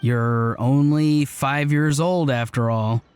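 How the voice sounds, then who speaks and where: A man's voice: medium pitch, steady tone, takes it slowly, darkish tone, clear, resonant tone, natural voice. An actor playing an upbeat, loyal, playful young man with a breezy, earnest manner in a video game. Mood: teasing. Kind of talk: video game cutscene voice acting.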